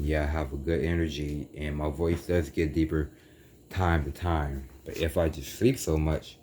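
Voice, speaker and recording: A young man with a deep voice, talking in a meandering, casual way and correcting himself as he goes through a spiritual monologue for his channel. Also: darkish tone, slight background noise. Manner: meandering, self-correcting, casual slang like "dude". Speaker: YouTuber.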